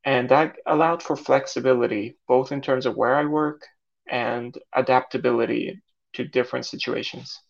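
A young man whose first language is Turkish, answering podcast questions in a halting way, with many fillers and restarts. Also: medium pitch, steady tone, vocal fry, lo-fi sound. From Saudi Arabia. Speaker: guest, startup founder.

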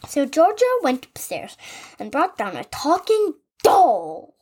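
A woman reading a children's picture book aloud as podcast host, in an animated, expressive way with asides. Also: sits high, slow. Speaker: story reader, podcast host.

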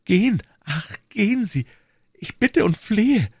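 A man's voice: on the high side, big pitch swings, normal speed, darkish tone, breathy edge, dry recording, clean studio sound, pitched above the performer's own voice, heavily acted. A man voicing an anguished, torn queen, proud yet secretly in love, with an imploring, agitated, regal manner.

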